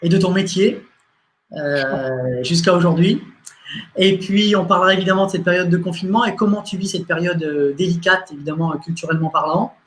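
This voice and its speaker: A man with a high voice hosts an interview show. He sets out the plan of the talk politely and in measured steps, pausing on fillers as he goes. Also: steady tone, fairly bright tone, a little hiss or hum. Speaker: interview host. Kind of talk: hosting an interview.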